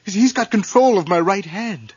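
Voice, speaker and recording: An actor playing a desperate, terrified man possessed by his dead brother, anguished, halting and pleading in a horror radio drama. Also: high voice, expressive, breathy edge, no echo, muffled recording.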